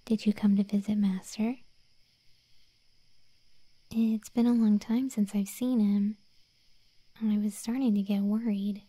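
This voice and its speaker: A protective monster girl, a gargoyle night guard, played by a woman for an ASMR roleplay. Her voice is very dark and soft, with a breathy whisper to it. She speaks slowly and intimately, right up at the mic in a dry space. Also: medium pitch, flat delivery, no room sound. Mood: surprised, apologetic. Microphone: close microphone, dry.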